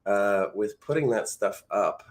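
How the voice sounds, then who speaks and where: A man's voice: fairly low pitch, a little slow. An American man coaching voice-over in a casual, encouraging, live-lesson style.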